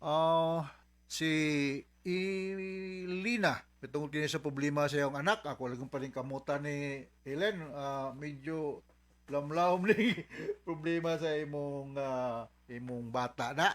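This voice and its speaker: A man's voice: fairly high pitch, fairly even intonation, slow pace. A man with a Cebuano accent hosting a radio advice programme as a doctor, conversational and emphatic. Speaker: radio host and advising doctor.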